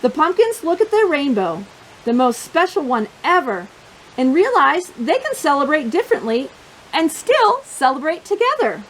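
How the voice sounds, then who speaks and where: Female children's storytime host. High voice with big melodic swings, expressive delivery pitched at little kids. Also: normal speed, a little hiss or hum, rough recording. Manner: expressive, simple phrasing addressed to young children. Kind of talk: children's storybook reading and craft chat.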